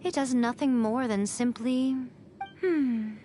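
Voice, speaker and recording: An actress playing a sly, elegant ancient fox envoy in a video game, with a knowing, teasing, theatrical delivery. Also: female voice, a little high, slow pace, dark tone, a little hiss or hum. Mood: disdainful, provoking. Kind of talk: dramatic video game voice acting. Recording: music behind the voice.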